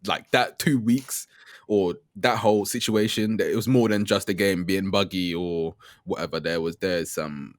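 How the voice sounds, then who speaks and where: A man's voice: low-pitched, steady tone, moderate pace, no room sound. A man co-hosting a game podcast, talking casually and hesitantly, often trailing off. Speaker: podcast co-host. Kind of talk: casual chat.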